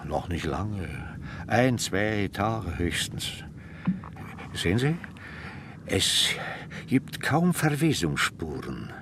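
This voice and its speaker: An actor playing a clinical, deliberate district doctor in a radio crime drama. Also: male voice, deep voice, sing-song, noisy background, full character voice. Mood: clinical, explaining. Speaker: medical examiner.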